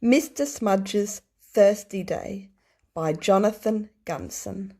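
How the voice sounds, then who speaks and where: A woman teacher reading aloud to young children, explaining things simply and deliberately and putting questions to them. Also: mid-range voice, a little mellow. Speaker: early primary school teacher.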